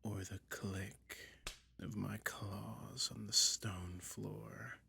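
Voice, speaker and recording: A man voicing a sly, soothing dragon who toys with a listener in a soft-spoken ASMR roleplay, breathy and whispery with a slow, coaxing delivery. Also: on the low side, flat delivery, a little hiss or hum, exaggerated voice. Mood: sly, boastful. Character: sly, soothing male dragon lurking in a cave, toying hypnotist.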